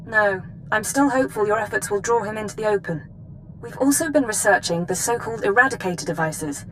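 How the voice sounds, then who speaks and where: An actress playing a composed, businesslike intelligence handler giving a mission briefing in a video game. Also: female voice, mid-range voice, a little fast, fairly bright tone, a little hiss or hum, natural voice, poor audio. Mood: formal briefing.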